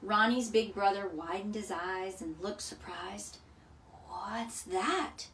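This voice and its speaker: A woman's voice: medium pitch, fairly even intonation, a little slow, a bit bright. A woman narrating a children's storybook as a story time reader. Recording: echoey room, distant mic, faint noise.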